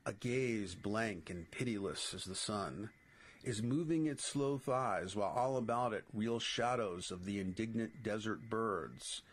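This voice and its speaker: A man's voice: on the low side, a little slow. A middle-aged man with a New York accent reading his own political essays aloud as a podcast host. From New York City.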